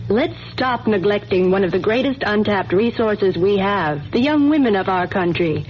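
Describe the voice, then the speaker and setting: A woman's voice: mid-range voice, lively intonation, moderate pace. Female announcer, muffled radio spot. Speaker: public service announcer. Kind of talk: scripted persuasive radio message. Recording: dull, faintly noisy radio spot.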